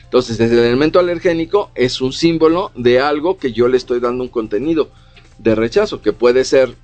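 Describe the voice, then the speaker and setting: A man's voice: mid-range voice, moderate pace, darkish tone, no room sound, soft background music. Man explaining on radio. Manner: explanatory, frequent fillers like "o sea" and "¿no?". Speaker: radio co-host. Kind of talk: casual radio chat on psychology.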